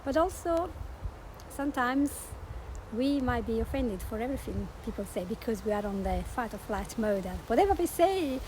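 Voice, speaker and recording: A woman's voice: a little high, expressive, moderate pace, a little mellow, slight background noise, poor audio. A woman with an Italian accent, talking earnestly as a podcast host and life coach, promoting her show. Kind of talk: promotional monologue.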